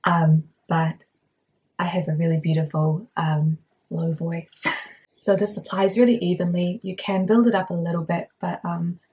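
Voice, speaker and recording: Husky-voiced woman chatting at home. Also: fairly low pitch, fairly even intonation, normal speed, a little mellow, rough recording. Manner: chatty, frequent fillers. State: voice lowered by illness. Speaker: beauty vlogger. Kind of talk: casual product review. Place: at home indoors.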